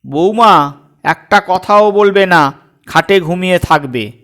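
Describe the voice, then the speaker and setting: A man voicing a shrewd, gently sarcastic middle-aged mother who manages her family in a comic audio story. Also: high voice, takes it slowly. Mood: coaxing. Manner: understated, wry, managing.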